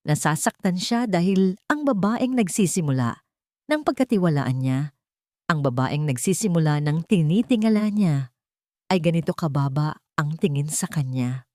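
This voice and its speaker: A woman's voice: sits low, lively intonation, moderate pace, a little mellow, dry recording, studio quality. A woman storyteller reading a scripted romantic drama as narration.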